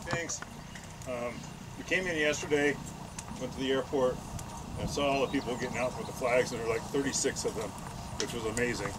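A man's voice: medium pitch, brisk, long pauses. Rambling, easygoing man at an outdoor gathering. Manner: relaxed, rambling, with fillers. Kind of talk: casual announcement. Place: outdoors at a cemetery. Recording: loud outdoor noise.

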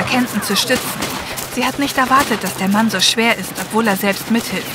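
A woman reading scripted story narration as the narrator of an audio drama. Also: a little high. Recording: clear helicopter and effects noise behind. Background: ambience, helicopter, vehicles.

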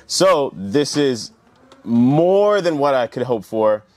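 A man's voice: medium pitch, big pitch swings, slow pace, a little mellow, no room sound. A man hosting a casual, hands-on car tutorial vlog in an enthusiastic, informal way.

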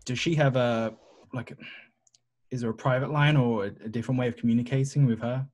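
A man talks casually during a tabletop game, putting questions to the group. His voice is husky, at an average male pitch. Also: steady tone, fairly quick, a little mellow.

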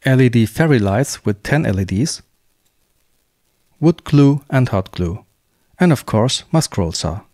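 Man, German accent, woodworking voice-over, calm step-by-step, animated intonation. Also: mid-range voice, measured pace, long pauses, no room sound, studio quality. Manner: calm, plain step-by-step explaining. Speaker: woodworking channel host. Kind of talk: scripted voice-over narration of a craft project.

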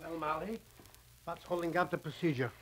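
An actor playing a gruff, skeptical middle-aged husband in a 1940s radio serial. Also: male voice, a little high, fairly even intonation, brisk, a bit bright. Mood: irritated. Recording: crackly 1940s radio transcription disc.

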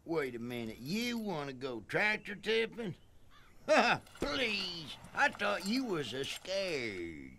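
A goofy country tow truck for an animated game. The voice is high and slow with a Southern drawl, folksy and excitable, over clear background noise. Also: male voice, heavily acted. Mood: drowsy, doubtful. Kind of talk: animated video game acting.

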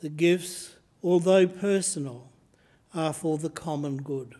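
An older man in his seventies with an Australian accent, preaching a sermon from the lectern of a church and reading slowly and deliberately from his notes. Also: on the high side, dark tone. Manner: slow, measured reading from notes. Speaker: priest. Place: church sanctuary, indoors. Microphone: lectern microphone, camera in front.

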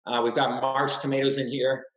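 A middle-aged man walks through his slides on a video call with a mid-pitched, practical delivery. He restarts words and leans on "uh" fillers. The webcam audio has a noticeable room echo. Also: little pitch movement, normal speed, fairly bright tone. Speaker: farmer giving a webinar talk. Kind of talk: slide presentation lecture. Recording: roomy echoey webcam audio.

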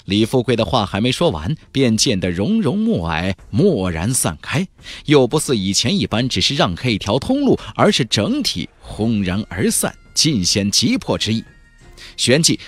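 Animated, husky male audiobook narrator. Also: medium pitch, no room sound. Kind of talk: dramatic scripted narration of a fantasy novel.

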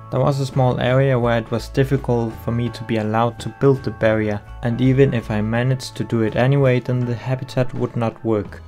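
Calm man with a Danish accent. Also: medium pitch, creaky. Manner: calm, explanatory, slightly non-native phrasing. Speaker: game video creator. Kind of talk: voice-over narration of a game speed build.

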